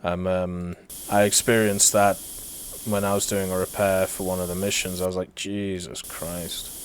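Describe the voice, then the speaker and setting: Laid-back British streamer, low voice. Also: male voice, little pitch movement, moderate pace, a little hiss or hum, low-quality recording. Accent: British, softened by years in Canada. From Britain. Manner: laid-back, rambling, answers chat. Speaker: livestreamer. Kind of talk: casual gameplay commentary.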